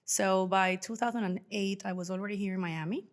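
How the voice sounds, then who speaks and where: Woman, 40s, mid-pitched voice, informal podcast guest telling personal stories. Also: steady tone, a little fast. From Venezuela. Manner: chatty, informal, acts out remembered dialogue. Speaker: podcast guest, financial professional. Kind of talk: casual personal storytelling.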